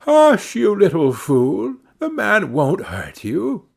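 A man voicing a young mother from a village in a storybook, brisk and plainspoken. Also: high voice, huge pitch swings, gravelly, pitched above the performer's own voice, full character voice. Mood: scolding, soothing.

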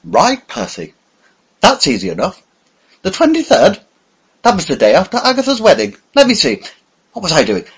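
A man voicing a bewildered, polite elderly English gentleman who hesitates and stammers, his voice climbing high. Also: huge pitch swings, normal speed, long pauses, breathy edge, heavily acted. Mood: flustered.

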